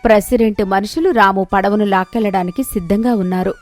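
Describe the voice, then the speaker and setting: A woman's voice: medium pitch, steady tone, quick pace, soft background music. A woman narrating a scripted moral story in a dramatic storytelling style.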